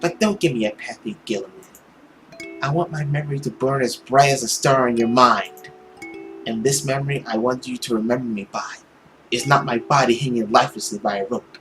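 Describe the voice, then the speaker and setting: A man's voice: medium pitch, fairly quick, fairly bright tone, faint music behind, natural voice, rough recording. Man reading as a sorrowful, earnest doomed woman. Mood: sorrowful, loving. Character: doomed, tender woman pleading with her former lover. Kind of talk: visual novel character reading.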